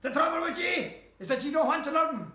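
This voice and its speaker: A man's voice: very high-pitched, expressive, fairly quick, bright, forceful tone, echoey room, far from the mic, voice pushed up, heavily acted. A man voicing a fuming, scornful schoolmaster Brother from a short story, scolding in a west of Ireland accent. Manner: scolding, haranguing.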